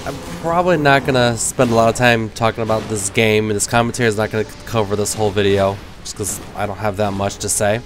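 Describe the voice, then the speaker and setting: A man's voice: on the low side, steady tone, fast, hardly any pauses, over music. A man in his thirties with a cold that leaves him nasal, giving rambling, self-deprecating casual gameplay commentary. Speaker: gaming commentator.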